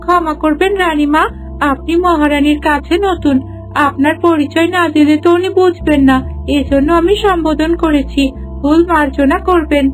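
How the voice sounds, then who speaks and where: A woman's voice: high voice, fairly even intonation, moderate pace, faint music behind, close to an everyday voice, rough recording. A woman voicing a humble, deferential palace maidservant in a cartoon, respectful and pleading. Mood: apologetic. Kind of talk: cartoon voice acting.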